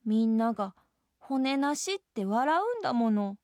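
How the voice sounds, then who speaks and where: A little jellyfish boy from a storybook, acted out by a woman, pitched high and plaintive. He protests in a childish, whining way, pleading to be believed. The sound is close and dry. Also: lively intonation, unhurried, darkish tone, no room sound. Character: small whiny jellyfish boy, teased and plaintive.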